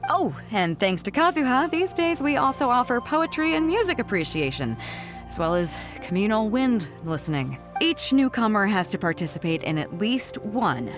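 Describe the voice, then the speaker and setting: A woman's voice: a little high, very animated, moderate pace, over music. A woman playing a confident, teasing female ship captain in a video game, breezy and self-assured. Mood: confident, explanatory. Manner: breezy, playful, self-assured, chuckles while talking. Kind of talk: video game character dialogue.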